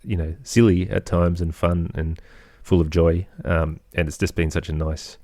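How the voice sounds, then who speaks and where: An Australian man speaking as an interview guest, thoughtful and halting as he searches for his words in reflective conversation. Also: low-pitched, level tone, warm tone, dry recording, studio quality. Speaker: guest, composer and children's podcaster.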